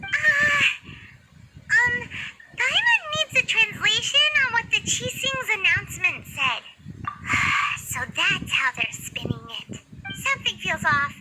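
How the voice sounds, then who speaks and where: A woman voicing a tiny, excitable female companion who chatters and exclaims. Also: very high-pitched, takes it slowly, heavy background noise, exaggerated voice. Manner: chatty, exclamatory, wondering aloud.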